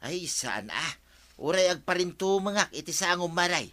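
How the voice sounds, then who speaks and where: A sheepish pleading fish thief. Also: male voice, sits high, animated, moderate pace, pushed and bright, no room sound. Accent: Ilocano. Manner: pleading, apologetic. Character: sheepish middle-aged man caught stealing fish.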